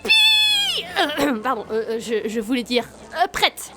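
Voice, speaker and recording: A woman playing an excitable, impatient sidekick in a comic sci-fi audio drama, flustered and outspoken. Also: high voice, unhurried, heavy background noise, heavily acted.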